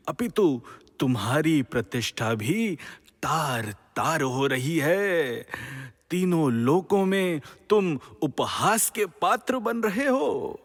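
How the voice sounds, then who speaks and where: An actor playing a wise, stern male guru of the gods in a mythological audio drama, grave and admonishing. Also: mid-range voice. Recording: long hall-like reverb.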